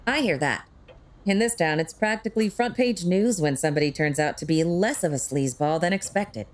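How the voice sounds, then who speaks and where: A woman's voice: on the low side, lightly acted. A woman playing a witty, sarcastic crime-fighting tech expert in an audio drama, with a wry manner. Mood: wry, teasing. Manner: wry, sarcastic, quick-witted.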